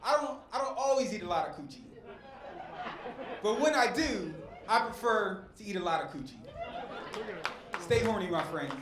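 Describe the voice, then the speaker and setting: High-voiced man doing stand-up in an echoey club. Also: animated, moderate pace, long pauses. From West Philadelphia. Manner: preacher-like cadence with crowd work. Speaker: stand-up comedian. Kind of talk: stand-up set. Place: comedy club stage. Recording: echoey club with faint crowd noise.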